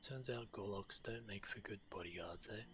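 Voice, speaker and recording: A man's voice: fairly low pitch, normal speed. A man who streams games, reading quest text aloud and commenting as he plays. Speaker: game streamer. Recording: game music behind the voice. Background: video game music.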